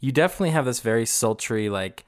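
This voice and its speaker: A man's voice: medium pitch, animated, fast talker, clean tone, dry recording, studio quality. Laid-back young man, laughing podcaster. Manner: loose, laughs often, swears casually. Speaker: podcast co-host, singer. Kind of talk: casual podcast chat.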